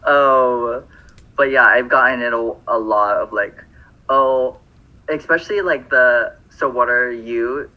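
A man's voice: medium pitch, slow pace, lo-fi sound. A man from Phoenix co-hosting a podcast, chatting casually and rambling through lots of fillers and self-corrections. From Phoenix, Arizona. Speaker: podcast co-host.

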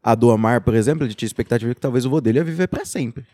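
A man's voice: mid-range voice, very fast, warm tone, no room sound. A young man with a Brazilian Portuguese accent, co-hosting a casual podcast and talking loosely with lots of slang and fillers. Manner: loose and talkative, with slang and frequent "tipo" and "sabe". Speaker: podcast co-host. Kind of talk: casual chat.

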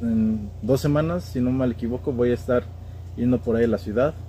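Mellow Mexican man chatting casually. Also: mid-range voice, fairly quick, a little hiss or hum, poor audio. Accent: central Mexican. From State of Mexico, Mexico. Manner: slangy. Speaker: podcast guest. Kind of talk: casual conversational storytelling.

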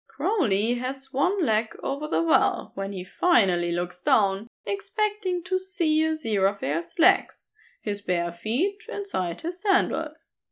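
A woman narrating a story as an audiobook, reading expressively from a script. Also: sits high.

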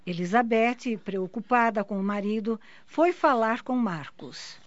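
A woman narrating a scripted radio drama in a measured storytelling manner. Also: a little high, expressive, dry recording. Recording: dull lo-fi audio.

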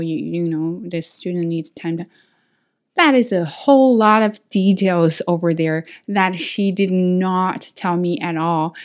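A woman's voice: on the low side, darkish tone. A woman who is not a native speaker of English, hosting a casual solo podcast and thinking aloud with many fillers and restarts, recorded on a camera mic. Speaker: podcast host and teacher.

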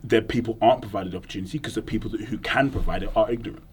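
A man, a guest in a casual chat, talking fast and emphatically with plenty of slang. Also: fairly low pitch, slight background noise. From Jamaican heritage.

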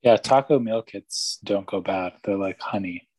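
Dry deadpan male co-host. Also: lowish voice, a little slow, no echo, rough recording. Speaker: podcast co-host. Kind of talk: casual hockey chat.

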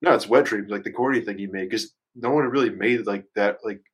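A man's voice: lowish voice, fairly quick, husky. A man in a casual podcast discussion, explaining his opinions in a rambling, slangy way. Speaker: podcast panelist. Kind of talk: casual group podcast chat.

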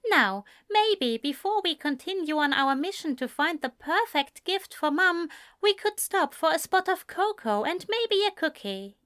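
A woman reading a patient, gently firm male uncle who speaks in a measured tone. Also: very high-pitched, big pitch swings, voice pushed up, exaggerated voice. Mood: coaxing. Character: patient, measured middle-aged male guardian.

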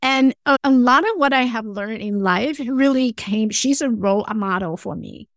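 Higher-voiced woman telling her story. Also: no echo, studio quality. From Taiwan. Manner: earnest, halting, with frequent restarts and small grammar slips. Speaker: podcast guest. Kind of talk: personal storytelling in conversation.

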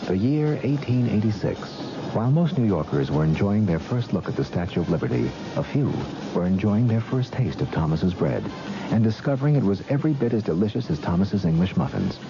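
Animated male radio ad announcer. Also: lowish voice, brisk, music in the background. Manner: emphatic, salesmanlike. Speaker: commercial announcer. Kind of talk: 1970s radio advertisement read. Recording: dull 1970s radio broadcast recording.

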